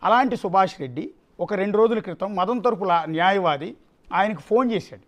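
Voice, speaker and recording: A male news anchor with a distinctly high voice. He comments live and off the cuff, driving points home by repeating his phrases with emphasis. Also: brisk, fairly bright tone, lo-fi sound.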